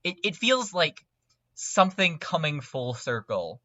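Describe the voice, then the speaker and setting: Male fan-podcast panelist, bright and keen, conversational, recorded dry with dulled fidelity. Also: fairly high pitch, big pitch swings, unhurried, no room sound, lo-fi sound. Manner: enthusiastic. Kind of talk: casual fan discussion.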